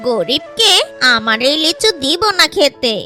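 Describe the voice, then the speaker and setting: A woman's voice: high-pitched, sing-song, unhurried, sharp tone, faint music behind, voice pushed down, heavily acted. A woman voicing a stern, contemptuous mother in a cartoon, scolding and snobbish. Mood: scornful, angry. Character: stern, scornful mother guarding her lychees.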